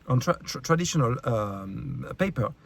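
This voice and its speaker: French guy ranting to his viewers, kinda raspy, blunt, swears a lot, lots of uhs. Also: mid-range voice, lively intonation, moderate pace. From France. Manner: blunt, profane, heated, many fillers and restarts. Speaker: YouTube creator. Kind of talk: angry rant to camera.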